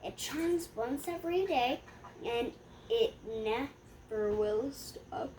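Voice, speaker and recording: A young girl with a high voice reads a rhyming picture book aloud. She goes slowly and haltingly, stumbling over words and fixing them as she goes. There is some light noise in the home recording. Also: lively intonation, darkish tone. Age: child. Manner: halting read-aloud with stumbles and self-corrections.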